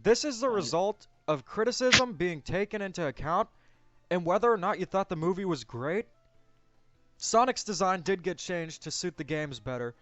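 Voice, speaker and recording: A man's voice: high voice, lively intonation, moderate pace, sharp tone. A man hosting a casual movie discussion, giving his opinions and explaining them. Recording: dull lo-fi audio, music bed. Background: music.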